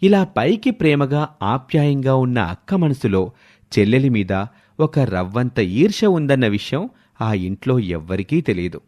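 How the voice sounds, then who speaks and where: Male narrator for moral tales: mid pitch, highly varied melody, scripted storytelling delivery. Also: big pitch swings, moderate pace, darkish tone.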